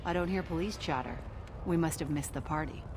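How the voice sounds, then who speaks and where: A woman's voice: low-pitched, brisk, very noisy, lightly acted. A woman voicing a cocky, cold special-agent operative in a video game, with a smug, clipped, sardonic delivery. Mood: cool, probing.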